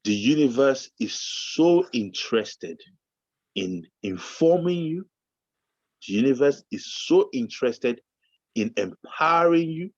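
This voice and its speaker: A man's voice: medium pitch, takes it slowly, dry recording, highs cut off. A Nigerian-born man whose first language is Yoruba, co-hosting a motivational talk show with preacher-like build-ups. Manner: animated, preacher-like build-ups, bursts into shouting. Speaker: podcast co-host.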